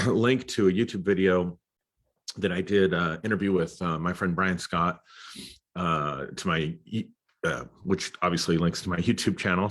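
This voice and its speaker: Congested guy with a really low voice, sniffly, lots of ums, chatting on a live stream. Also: fairly bright tone. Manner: halting, many fillers and throat clearing. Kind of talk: casual live stream talk. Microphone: computer or phone mic.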